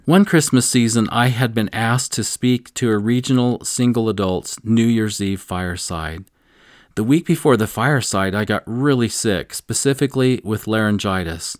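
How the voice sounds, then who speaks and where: A man co-teaching a scripture lesson, earnest and measured, slowing down to stress key points and sharing stories from his own life. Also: fairly low pitch, no echo, studio quality. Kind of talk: devotional teaching with personal stories.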